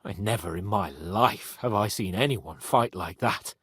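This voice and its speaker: An actor playing a proud, hot-headed young medieval nobleman in a dramatic video game, with a haughty and expressive delivery. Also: fairly low pitch, unhurried, breathy edge, dry recording, clean studio sound. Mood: earnest, resolute. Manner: haughty, expressive, swearing freely.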